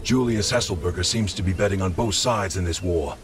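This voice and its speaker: A resolute war-leading lord, played by a man, grave and commanding in a fantasy game. His voice is on the low side, with faint music behind. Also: fairly quick, lightly acted. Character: stern, resolute medieval lord and war leader.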